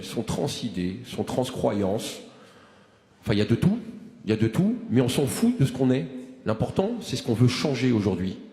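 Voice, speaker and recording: A man's voice: mid-range voice, a little mellow, big-room sound. A man in his forties giving an impassioned, rousing address to a movement, full of restarts and rhetorical questions. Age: 40s. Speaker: activist speaker.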